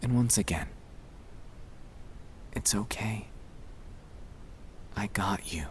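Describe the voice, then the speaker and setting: Slow, low-voiced man in gentle ASMR. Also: a little hiss or hum. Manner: gentle, soothing, hushed, reassuring. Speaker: ASMR sleep-aid narrator. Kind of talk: ASMR guided relaxation, scripted comfort monologue.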